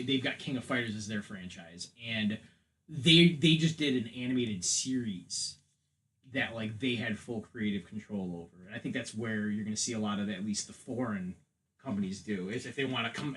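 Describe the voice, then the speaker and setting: Man chatting in halting starts. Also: medium pitch, fairly bright tone, low-quality recording. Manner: halting, repeats words as he thinks aloud. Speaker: podcast panelist. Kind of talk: casual opinionated chat.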